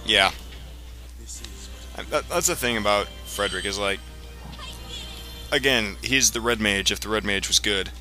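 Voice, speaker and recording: A man giving casual, explanatory gameplay commentary in a halting way, as the host of a let's play. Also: fairly low pitch, pushed and bright. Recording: game music behind the voice. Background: video game music.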